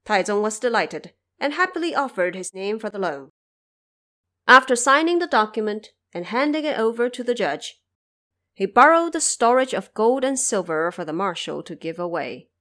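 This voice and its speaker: A woman narrating a scripted fantasy audio drama in a deliberate, dramatic storytelling manner. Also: medium pitch, very animated, a bit bright.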